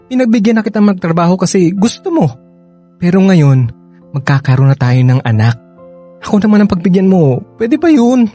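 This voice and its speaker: A concerned young husband in a radio drama, protective and insistent. His delivery is emotive, with very lively swings in pitch. Faint music sits behind the dialogue. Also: normal speed. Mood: firm, insistent. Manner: emotive, pleading, stammering with concern.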